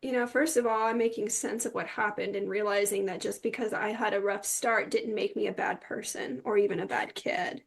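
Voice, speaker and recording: A woman's voice: medium pitch, fairly even intonation, fairly quick, gravelly, few pauses, breathy edge. A woman speaking as an interview guest, telling her personal story in a candid, emphatic way.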